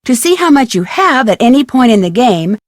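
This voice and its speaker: A woman's voice: a little high, sing-song, a little fast, dry recording, studio quality. A young woman playing a friendly, curious amateur detective, chipper as she explains things in a scripted game tutorial. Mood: friendly, introducing.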